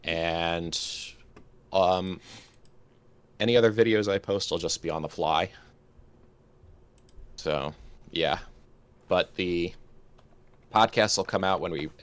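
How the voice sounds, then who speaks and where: A man's voice: low voice, slow pace, pauses often. A man chatting casually as a podcast co-host. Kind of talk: casual chat.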